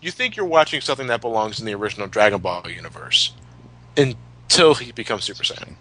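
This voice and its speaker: A male podcast co-host with a bright, forward voice. His pitch moves around in a lively way as he chats, and he is blunt and happy to swear. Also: a little fast, rough recording. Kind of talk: casual chat, retrospective commentary.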